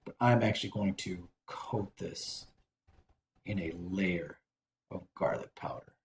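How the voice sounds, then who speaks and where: A man's voice: deep voice, slow, reverberant, noisy background. A man hosting a casual cooking demonstration, chatty and rambling, often correcting himself as he seasons a dish. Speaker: cooking show host.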